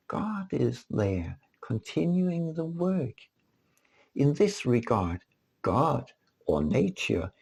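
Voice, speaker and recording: Slow, gravelly man reading his book aloud. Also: mid-range voice, warm tone. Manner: deliberate, rhetorical questioning. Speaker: author reading own book. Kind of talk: scripted audiobook narration.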